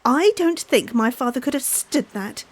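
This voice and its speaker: A woman's voice: sits high, lively intonation, normal speed. A woman playing an earnest, haunted daughter of a lonely castle in old-time radio suspense style. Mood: guarded, earnest. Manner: earnest, dramatic, tense phrasing.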